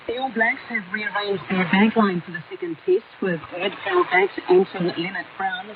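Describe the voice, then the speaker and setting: A woman's voice: medium pitch, steady tone, normal speed, a loud crowd. A woman with a New Zealand accent reading a scripted radio news bulletin over shortwave. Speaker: radio newsreader. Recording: noisy narrow-band shortwave radio broadcast, distant.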